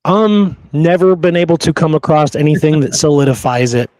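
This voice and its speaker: A man's voice: on the high side, fairly quick, darkish tone, dry recording, rough recording. Guy hosting a history podcast, earnest, repeats himself to make a point, really dry close mic. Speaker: podcast host. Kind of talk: conversational history podcast talk.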